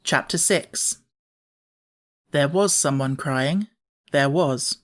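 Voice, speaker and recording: A man's voice: fairly high pitch, slow pace, a bit bright. A man reading a children's novel aloud as scripted audiobook narration.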